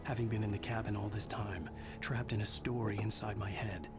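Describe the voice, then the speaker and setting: An actor playing a brooding, irritable novelist in a video game, grave and introspective, haunted but determined. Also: male voice, on the low side, steady tone, a little fast, lightly acted. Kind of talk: first-person noir narration and game dialogue. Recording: music behind the voice.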